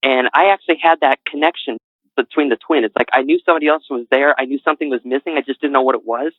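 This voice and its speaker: A man's voice: medium pitch, fast talker, no echo. A man with a faint New England accent, an interview guest talking in a rambling, earnest way and often correcting himself. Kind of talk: casual interview talk. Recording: dry, tinny phone-like line.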